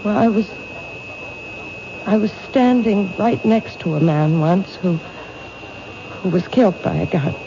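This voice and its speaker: A fearful young woman in a vintage radio thriller, played by an actress. She speaks in an anxious, halting, emotional way, full of doubt. The sound is muffled and noisy, like an old broadcast. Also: medium pitch, lively intonation, natural voice. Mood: haunted, frightened. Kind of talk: 1970s radio drama acting. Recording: dull, noisy 1970s radio recording.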